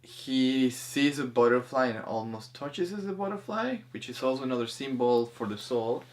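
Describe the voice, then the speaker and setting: A man talking casually as host of a discussion, haltingly, often repeating words and restarting his sentences. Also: medium pitch, expressive. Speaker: host of a spirituality film discussion. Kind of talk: casual conversational commentary.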